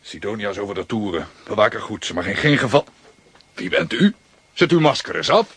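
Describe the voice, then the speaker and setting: An actor playing a cold, commanding masked gang boss. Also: male voice, lowish voice, sing-song, brisk, fairly bright tone, breathy edge, exaggerated voice. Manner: stern, commanding.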